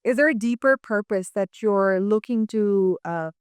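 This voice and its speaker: Woman hosting an interview, clear smooth voice. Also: mid-range voice, a little slow, no echo, studio quality. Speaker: host, interviewer. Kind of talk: moderated panel interview. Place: indoor studio, seated panel on sofas.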